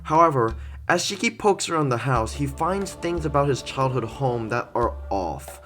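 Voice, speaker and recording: A male reviewer reads a scripted critique in a mid-pitched voice. His intonation swings in a lively way, with deliberate pauses and stresses for emphasis. Music plays softly behind him. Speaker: video reviewer, host. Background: background music.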